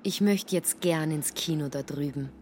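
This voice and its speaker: Low-voiced woman narrating. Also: moderate pace, slight background noise. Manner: expressive storytelling, slipping into character lines. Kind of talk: scripted literary narration for radio.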